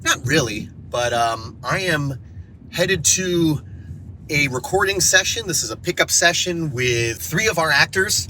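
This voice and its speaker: A man's voice: fairly high pitch, bright, forceful tone, low-quality recording. A man talking casually and informally in a behind-the-scenes vlog as a director and producer, a bit sniffly from allergies. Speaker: audio drama director and producer vlogging. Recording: faint background noise.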